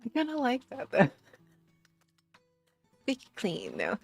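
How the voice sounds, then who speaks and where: Sleepy raspy woman streamer. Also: huge pitch swings, slow pace, dry recording, soft background music. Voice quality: slight rasp, low voice. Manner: sleepy, giggly, rambling with restarts. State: tired. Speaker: VTuber streamer. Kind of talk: casual late-night stream chat.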